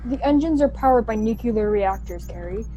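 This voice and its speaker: Woman as mellow, analytical young colonist. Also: on the high side, expressive, normal speed, highs cut off, a little hiss or hum, lightly acted. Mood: matter-of-fact. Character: young technically minded colonist, matter-of-fact and analytical. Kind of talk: science fiction radio play acting.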